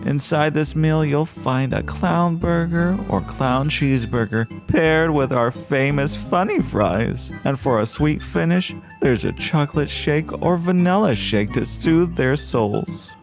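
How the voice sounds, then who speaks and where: Sad creepy clown selling fast food, high voice, drags words out, sickly sweet, music behind. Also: male voice. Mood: creepy cheer. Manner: slow, drawn-out, sinister sweetness. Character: creepy sad clown mascot, mournful yet cheery pitchman. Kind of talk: parody fast-food radio commercial.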